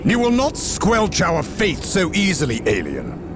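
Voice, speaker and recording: A man's voice: sits high, wildly swooping pitch, a little slow, noisy background, exaggerated voice. An actor playing a defiant, zealous space marine commander in a video game, declaiming righteous battle oratory to his brothers. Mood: scornful, defiant. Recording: voice under loud game music.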